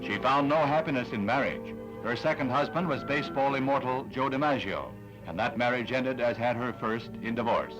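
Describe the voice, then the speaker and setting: Male newsreel narrator, 1960s style, solemn, formal, medium pitch, music behind. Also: moderate pace, rough recording. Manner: solemn, formal announcer delivery. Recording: vintage newsreel soundtrack with music. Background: background music.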